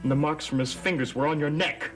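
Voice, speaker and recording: Hot-headed young male soap character, played by an actor: higher-pitched, intense, accusing, loud score underneath. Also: quick pace, music in the background, close to an everyday voice. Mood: bitter rage. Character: bitter, hot-tempered young son who hates his stepfather. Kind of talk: gothic soap opera acting.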